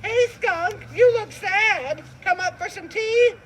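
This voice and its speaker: A woman's voice: very high-pitched, takes it slowly, a little hiss or hum, pitched above the performer's own voice. A woman voicing a friendly, chatty male rabbit in a children's puppet show. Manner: chatty, eager, neighborly. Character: cheerful, friendly male rabbit, eager home cook.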